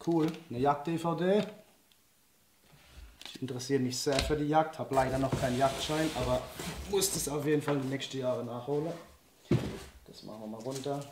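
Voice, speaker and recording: A man, chatting enthusiastically through an unboxing, his voice slightly hoarse from a cold. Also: mid-range voice. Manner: enthusiastic, chatty, laughs often. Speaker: outdoor and shooting sports vlogger. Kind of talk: casual unboxing vlog. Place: indoor room with a wooden table. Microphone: camera-facing, seated at a table. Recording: echoey room, faint noise.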